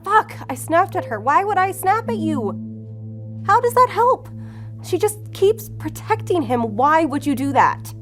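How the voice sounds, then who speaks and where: An actress playing a driven, short-tempered special agent in an audio drama, blunt and confrontational. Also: female voice, very high voice, big pitch swings, unhurried, soft background music, full character voice. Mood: self-reproachful, frustrated. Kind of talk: audio fiction acting.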